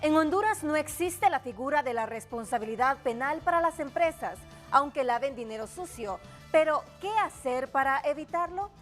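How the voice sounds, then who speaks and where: A woman reading the scripted topic announcement for a talk show in a crisp, upbeat way. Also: high-pitched, animated, moderate pace, faint music behind.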